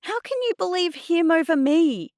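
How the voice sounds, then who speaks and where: A woman's voice: very high voice, lively intonation, moderate pace, a little mellow, no echo, clean studio sound, voice pushed up. A woman voicing an indignant, hot-tempered teenage girl in dramatised audiobook dialogue, exclaiming defiantly. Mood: outraged.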